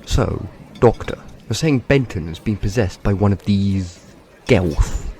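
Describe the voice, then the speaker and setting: An actor playing a plain-spoken, questioning man in an audio drama, direct and expressive. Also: on the low side, a little slow. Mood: puzzled. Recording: clear background noise on recording.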